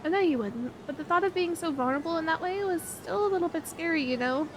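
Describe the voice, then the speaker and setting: A woman playing a sweet, bashful young woman talking to her best friend in an ASMR roleplay, expressive and a little hesitant. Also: very high-pitched, quick pace, background noise. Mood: tender, vulnerable. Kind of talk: ASMR roleplay audio drama.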